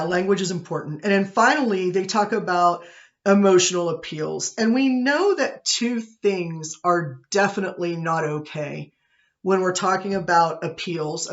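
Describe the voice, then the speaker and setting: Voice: medium pitch, unhurried, highs cut off. A college communication instructor giving a recorded online lecture from an office, chatty and self-deprecating, often checking in with "right?". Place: own office, indoors. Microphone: computer webcam set above eye level.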